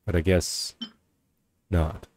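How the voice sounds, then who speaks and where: A man giving casual, low-key gameplay commentary in an offhand mutter. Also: little pitch movement, slow, a little mellow. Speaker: gaming streamer playing through a game. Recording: game music behind voice.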